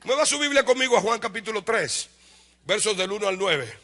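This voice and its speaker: Dominican pastor preaching, high bright voice, asks and answers his own questions, shouts a lot. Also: fairly quick. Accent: Dominican Spanish. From Dominican Republic. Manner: animated, shouts for emphasis, then drops to a low aside. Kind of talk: lively sermon to a congregation.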